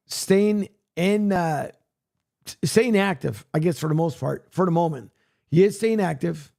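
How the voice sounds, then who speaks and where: Raspy high-voiced sports radio host. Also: male voice, a little mellow. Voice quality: raspy, losing his voice. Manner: rambling, many fillers, plugs and reads news aloud. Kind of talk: casual solo sports talk, filling time.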